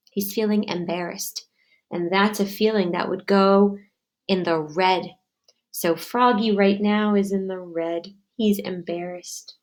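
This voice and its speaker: A woman reading a children's storybook aloud expressively, in an instructive manner for young listeners. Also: mid-range voice. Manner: asks listeners simple questions, instructive.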